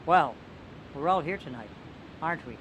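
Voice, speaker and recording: An actor playing a sceptical, impatient police inspector with a wry, doubting manner in a film drama. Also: male voice, fairly high pitch, animated, moderate pace, fairly bright tone, slight background noise, poor audio.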